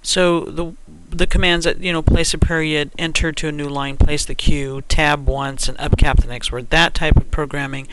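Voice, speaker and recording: A man giving an unscripted step-by-step software tutorial, thinking aloud in a halting way as he works. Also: a little high, level tone, background noise.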